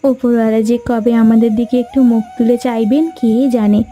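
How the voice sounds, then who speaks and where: A woman voicing a poor, gentle, worried village mother in a fairy tale cartoon, speaking in a caring manner. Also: on the high side, level tone, fairly quick, close to an everyday voice. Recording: music behind the voice.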